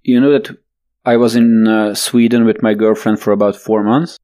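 A young man chatting casually, joking and wry, in an unhurried manner. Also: on the low side, flat delivery, warm tone, smooth. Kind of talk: casual chat.

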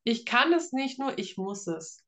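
A woman's voice: fairly high pitch, sing-song, unhurried, fairly bright tone. A woman in her early thirties from Germany, chatting casually as an author being interviewed, with a self-deprecating humor. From Schwerin, Germany. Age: early 30s.

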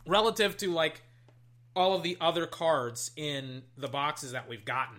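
A young adult, slightly hoarse, chatting casually while opening card packs at a desk, swinging between loud hype and low muttering and swearing freely. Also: steady tone, a little slow. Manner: swears casually, trails off and restarts. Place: at a desk indoors.

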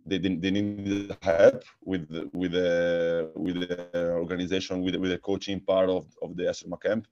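A man with an Italian accent, a guest answering interview questions, speaking haltingly with many repeated words and restarts. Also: sits low, level tone, a little mellow, dull audio. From Italy. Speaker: guest, futsal coach and technical director.

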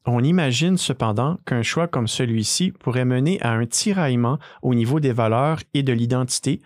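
A man, hosting and narrating a scripted podcast in a measured, read-aloud way. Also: mid-range voice, darkish tone, no room sound, clean studio sound. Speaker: podcast host.

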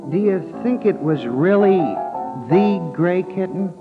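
A muffled old radio recording with loud music. a naive, folksy American sidekick with a high, very animated voice, full of slangy questions in 1940s radio drama style. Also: male voice, slow. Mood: curious.

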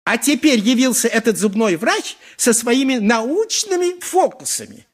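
An actor playing a pompous, lecherous high priest in a theatrical radio play, speaking in an oratorical, unctuous way. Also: male voice, sing-song, unhurried, exaggerated voice. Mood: indignant, scheming. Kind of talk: 1980s Soviet radio play acting.

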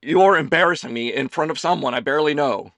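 A man's voice: fairly high pitch, quick pace, fairly bright tone, no echo, voice pushed up. A man voicing a shy young man, nervous and stammering. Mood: flustered.

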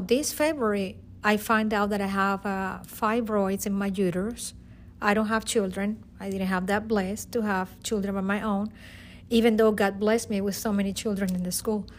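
A woman's voice: mid-range voice, slight background noise. A middle-aged woman from Venezuela with a Venezuelan Spanish accent, a teacher giving an earnest, emotional personal testimony with many restarts. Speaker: teacher and guest.

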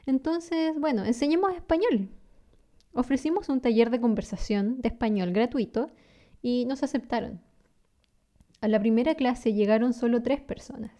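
Chilean woman in her thirties hosting a podcast. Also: a little high. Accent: Chilean Spanish. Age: 30s. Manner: warm, storytelling, laughs often. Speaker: podcast host and teacher. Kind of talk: scripted podcast monologue.

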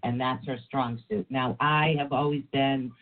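Raspy under-the-weather woman on a phone line. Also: a bass voice, steady tone, a little slow. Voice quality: rough, raspy voice. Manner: chatty and rambling, laughs often, many restarts. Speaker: co-host of a paranormal talk show. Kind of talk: casual chat. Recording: phone-like narrow sound.

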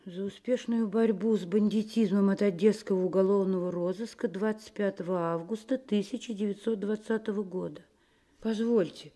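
An actress playing an admiring, curious and excitable lady in a Soviet radio play. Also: medium pitch, moderate pace, lightly acted. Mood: curious, surprised.

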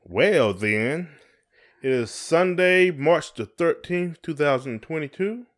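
A man's voice: fairly high pitch, expressive, takes it slowly. A man co-hosting a podcast in casual conversation, talking in a folksy way and often repeating his phrases. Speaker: podcast co-host.

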